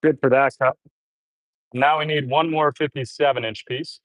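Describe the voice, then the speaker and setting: Homesteader man, casual live-stream chatter, a bit congested, mid-pitched, dry close sound. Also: normal speed, no echo, poor audio. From Canada. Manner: relaxed, chatty, reads viewer comments aloud. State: a little congested. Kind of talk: casual live-stream chat while building. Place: outdoors on a homestead.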